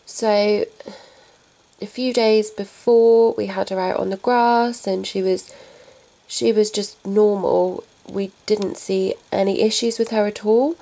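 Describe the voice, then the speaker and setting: A young woman vlogging about her pets, talking softly and haltingly while getting over a cold. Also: mid-range voice, creaky, poor audio. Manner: soft, halting, subdued. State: recovering from a cold. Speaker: pet vlogger. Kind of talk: casual talk to camera.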